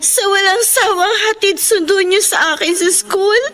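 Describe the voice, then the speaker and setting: High-voiced, earnest young graduate. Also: female voice, moderate pace, a bit bright. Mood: tearful, grateful. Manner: polite, deferential, earnest. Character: grateful young female graduate, tearful, devoted granddaughter. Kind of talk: sentimental radio drama acting.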